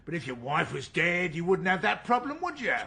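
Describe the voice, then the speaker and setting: An actor playing a relentless police detective, probing and goading in a radio drama interrogation. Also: male voice, fairly high pitch, very animated, fairly quick, vocal fry, sharp tone, breathy edge, heavily acted. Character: relentless male police detective pressing for a confession.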